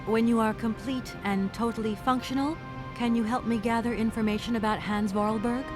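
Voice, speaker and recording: A woman's voice: fairly high pitch, fairly even intonation, a little fast, music in the background, natural voice. An actress voicing a polite, inquisitive adventure game heroine, courteous and questioning in her video game dialogue. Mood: polite, curious.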